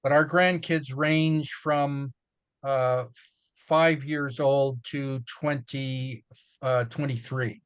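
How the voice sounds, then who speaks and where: A man's voice: medium pitch, fairly even intonation, extremely slow, clean tone, no room sound. An older man talking earnestly as a guest on a panel chat.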